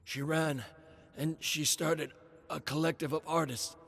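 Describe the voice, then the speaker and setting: A man voicing an anguished, guilt-ridden drugged man confessing in dread, halting and stammering, in a dramatic audiobook. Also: on the high side, steady tone, vocal fry, fairly bright tone, pitched above the performer's own voice. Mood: bitter, urgent. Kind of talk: dramatic audiobook character acting.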